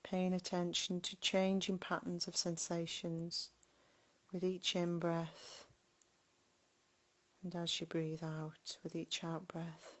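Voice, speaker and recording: Female meditation guide: slightly low register, very slow delivery, gentle, with spacious pauses. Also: completely flat delivery, dull audio. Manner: gentle, unhurried, with long pauses between short phrases. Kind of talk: guided body scan meditation.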